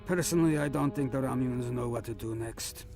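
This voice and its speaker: Man acting a gravelly, feisty old starship captain. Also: medium pitch, brisk, music in the background, lightly acted. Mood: confident, blunt. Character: older male starship captain, feisty, wry, jovial veteran. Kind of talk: science fiction audio drama acting.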